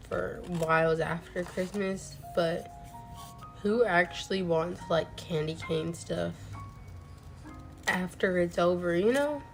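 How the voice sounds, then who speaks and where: A young woman chatting casually while crafting on a stream, rambling with lots of "like" fillers, her voice a little off from a recent cold. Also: on the low side. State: voice a bit off from a cold. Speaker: crochet streamer. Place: home crafting setup. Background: faint music.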